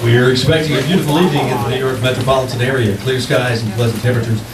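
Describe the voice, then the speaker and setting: A man's voice: medium pitch, fairly even intonation, racing, a crowd in the background, lightly acted. A man playing a genial 1940s-style radio announcer, reading a scripted programme. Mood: brisk. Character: smooth genial old-time radio announcer. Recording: echoey distant stage pickup, noisy.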